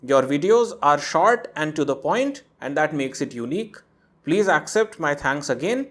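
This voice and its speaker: A man's voice: a little high, animated, moderate pace, fairly bright tone. A man hosting a channel, reading out a viewer's emailed story and breaking in with his own asides. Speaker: host reading a viewer's letter. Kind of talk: narrated reading with commentary.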